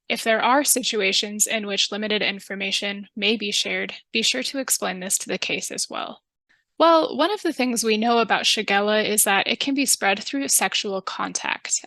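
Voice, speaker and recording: A woman speaks in a calm, professional and reassuring tone, both narrating a training video and interviewing. Her voice is bright and crisp. The recording is dry and close. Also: mid-range voice, no echo. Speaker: narrator and public health interviewer.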